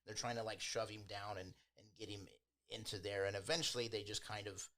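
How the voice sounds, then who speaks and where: Man, lowish pitch, game master, casual improvised narration, informal storytelling. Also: fairly even intonation, fairly quick, fairly bright tone.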